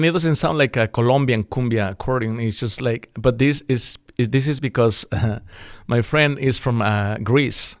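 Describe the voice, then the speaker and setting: A man's voice: medium pitch, clean tone, no room sound, studio quality. A middle-aged man, a musician chatting as a guest, talking in a loose, rambling way full of fillers and restarts. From Mexico City. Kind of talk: casual interview chat.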